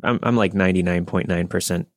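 A man's voice: deep voice, fairly even intonation, fast, no echo, clean studio sound. A man in his thirties chatting casually as a podcast host. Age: 30s. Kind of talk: casual chat.

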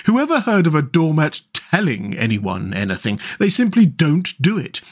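A man voicing a self-pitying, literal-minded male mole in a storybook, in a plaintive, pettish, deliberate way. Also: on the high side, sing-song, exaggerated voice. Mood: pettish, sarcastic. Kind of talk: storybook character voice.

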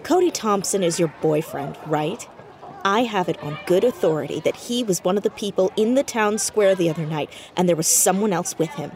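A woman playing a sharp, nosy fourteen-year-old girl detective in a naturalistic audio drama, quick and probing. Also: lowish voice, lively intonation. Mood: pointed, accusing.

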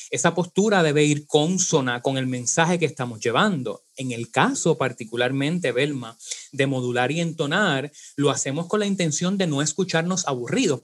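A man's voice: a little high, fairly bright tone. A man with a Puerto Rican accent, a speech teacher explaining diction on a podcast, with many fillers and a habit of asking "right?". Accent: Puerto Rican Spanish. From Puerto Rico. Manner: didactic, many "eh" fillers and tag "¿verdad?". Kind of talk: podcast interview.

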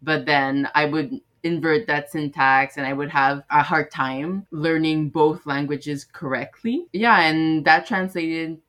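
A young woman from Quebec answering interview questions in a relaxed, loose way with fillers. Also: low voice, slow, hardly any pauses. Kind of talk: casual recorded interview.

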